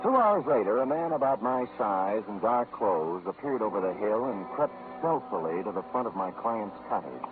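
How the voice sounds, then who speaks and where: A man's voice: lowish voice, big pitch swings, moderate pace, few pauses. A man playing a sardonic, cocky hard-boiled private detective in 1940s radio noir style, with wry, mock-formal wording. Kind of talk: 1940s radio noir acting and first-person narration. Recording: tinny old radio recording, music behind.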